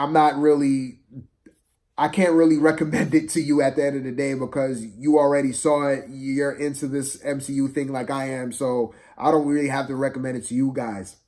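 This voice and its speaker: A man's voice: medium pitch, a little mellow. A man giving a casual, unscripted film review to viewers, rambling and hesitant, often repeating himself.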